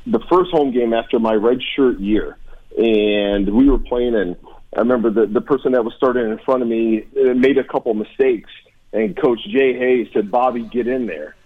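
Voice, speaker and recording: A husky-edged American man speaks as a guest on a sports talk show, earnest and emphatic as he reminisces. His voice sits at a medium pitch, and the recording is a little dull and muffled. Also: steady tone, moderate pace. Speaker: guest, former college football player.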